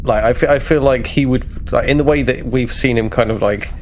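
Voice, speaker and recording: A man playing a tabletop game, casually explaining his character in a halting, hesitant way. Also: mid-range voice. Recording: noisy background.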